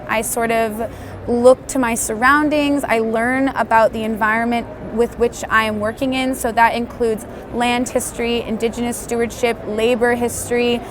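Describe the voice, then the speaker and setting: Thoughtful woman designer from Canada, noisy room. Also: on the high side. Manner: thoughtful, explanatory. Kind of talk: interview about her work. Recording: noisy background ambience.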